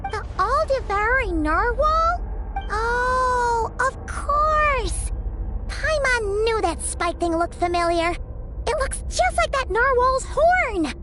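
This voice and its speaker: A woman voicing a tiny, excitable fairy companion who talks about herself in the third person, in a high, squeaky, childlike voice. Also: takes it slowly, heavily acted. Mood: excited. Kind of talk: game voice acting. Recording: game audio with music behind.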